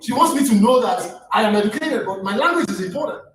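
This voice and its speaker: A man's voice: high-pitched, expressive, fast talker. Impassioned Nigerian man lecturing. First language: Yoruba. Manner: impassioned, rising to near shouts, stammering restarts. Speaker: guest lecturer. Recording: echoey room, distant mic, faint noise.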